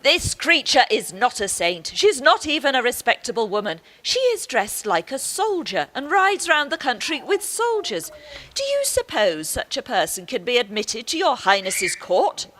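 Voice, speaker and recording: Female actor as scolding archbishop, fairly high forceful voice, haughty, dignified, radio drama. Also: huge pitch swings, normal speed, exaggerated voice. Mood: exasperated. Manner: haughty, lecturing. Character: stern, pious, superior archbishop, scolding and dignified. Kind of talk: radio play acting. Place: actor's home, recorded remotely.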